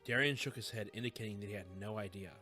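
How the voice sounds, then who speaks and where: A man narrates scripted fiction in a fairly low, clearly bright voice. He delivers it as an audiobook storyteller, with light music behind him. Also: fast talker.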